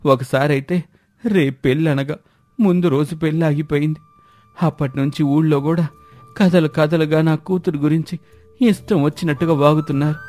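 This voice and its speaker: A man's voice: a little high, darkish tone, natural voice. A man playing a troubled father in a moral story, speaking with an aggrieved, heavy-hearted manner. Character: sorrowful, helpless father of a slandered daughter. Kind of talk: dramatised moral story dialogue.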